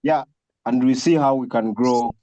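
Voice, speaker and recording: A man hosting a casual group video call, speaking haltingly and often repeating his words. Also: medium pitch, mellow, no room sound, muffled recording. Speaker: host of an online film session.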